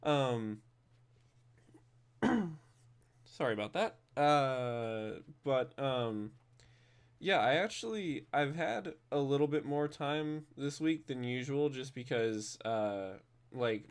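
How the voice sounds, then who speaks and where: A man's voice: medium pitch, expressive, slow pace, clear, resonant tone. A man streaming a driving game, chatting casually after just waking up, slightly nasal and drowsy, rambling with many fillers and restarts.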